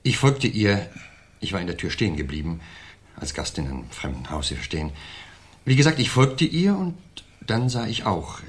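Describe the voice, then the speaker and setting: A suave businessman under questioning in a 1970s radio crime play. His deep voice rises and drops expressively. He is courteous and self-important, with a nervous, over-explaining manner. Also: sing-song, normal speed. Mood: shaken. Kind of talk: 1970s German radio crime drama acting.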